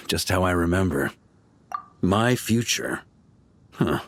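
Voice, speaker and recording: An actor voicing a seasoned, easygoing knight commander in a video game, relaxed, wry and reflective. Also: male voice, sits low, slow pace. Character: seasoned knight commander, easygoing, warm-hearted male leader.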